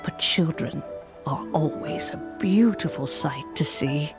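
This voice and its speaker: A woman playing a serene, kindly elderly master in a video game cutscene, speaking gently in genteel, old-fashioned phrases. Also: sits low, takes it slowly, over music. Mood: wistful.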